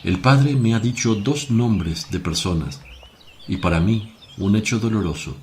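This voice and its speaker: An actor playing a grave, gentle, sorrowful teacher in a devotional radio play, speaking solemnly in measured phrases. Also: male voice, on the low side, natural voice. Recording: faint noise with birdsong. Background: birdsong and outdoor ambience.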